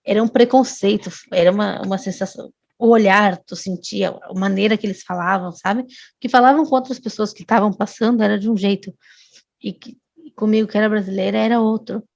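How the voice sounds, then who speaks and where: A woman's voice: medium pitch, fairly even intonation, brisk, gravelly, a little mellow, no room sound. Lady w chatting as a guest, lots of restarts, kinda dull lo-fi sound. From Brazil. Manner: storytelling, with many restarts and tag questions. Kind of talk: casual chat.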